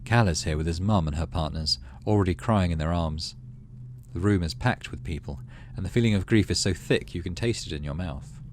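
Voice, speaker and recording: A man hosting a gaming video, reading story text aloud and adding low-key, muttered asides in casual commentary. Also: brisk, a little hiss or hum. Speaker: gaming channel host.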